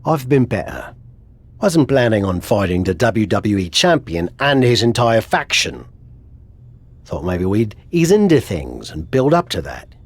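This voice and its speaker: A man's voice: lowish voice, sing-song, measured pace, breathy edge, dry recording, exaggerated voice. A man playing a frank, supportive wrestler mentor in naturalistic video game dialogue. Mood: wry. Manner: frank, advising.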